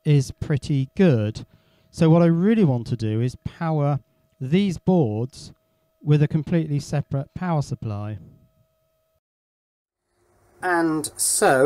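A man's voice: on the high side, darkish tone. A man giving a casual hands-on electronics demonstration, thinking aloud as he works. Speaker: electronics hobbyist presenter. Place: indoor workshop.

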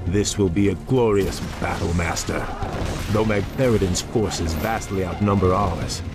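A man's voice: low voice, unhurried, over music. A man voicing a proud, devoted young elf prince in a game cutscene, formal, noble and earnest. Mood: resolute, loyal. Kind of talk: dramatic video game cutscene acting.

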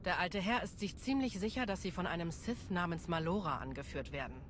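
A woman's voice: lowish voice, fairly quick, a little hiss or hum, lightly acted. A woman playing a tough, cocky security chief in a German game dub, sardonic and confident. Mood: cocky, dry.

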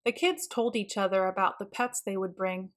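A woman narrating a children's storybook in an expressive, deliberate way. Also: mid-range voice. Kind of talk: read-aloud storybook narration.

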